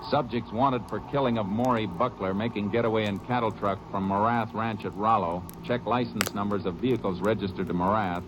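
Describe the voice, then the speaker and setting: A man's voice: fairly low pitch, fairly quick, few pauses, noisy background, lightly acted. An actor playing a clipped Texas Ranger lawman. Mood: terse. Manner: clipped radio-dispatch delivery. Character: Texas Ranger, steady lawman narrating his case. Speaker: lead actor. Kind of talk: 1940s radio acting. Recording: old radio broadcast with music.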